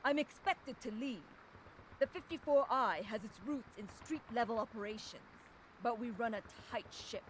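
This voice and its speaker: A woman's voice: on the high side, slight background noise, lightly acted, low-quality recording. An actress playing a proud, fierce young woman enforcer, delivering a solemn, controlled dramatic monologue for a video game audio log. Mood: proud, stern.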